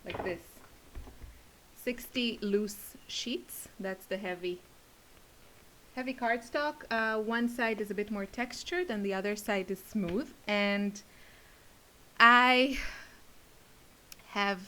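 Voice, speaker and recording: A woman's voice: mid-range voice, slow pace, pauses often, sharp tone. A woman crafter chatting casually to her viewers in a rambling, giggly way. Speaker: craft video creator and class teacher. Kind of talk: casual rambling chit-chat to camera.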